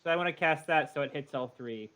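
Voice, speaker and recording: A man's voice: a little high, quick pace, pushed and bright. A man playing an online tabletop game, talking casually in a matter-of-fact, questioning way.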